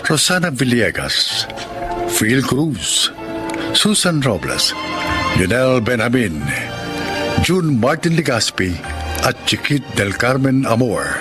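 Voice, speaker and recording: A man's voice: mid-range voice, very animated, slow, long pauses. A man narrating and announcing a radio drama in a scripted, dramatic style. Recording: music underneath.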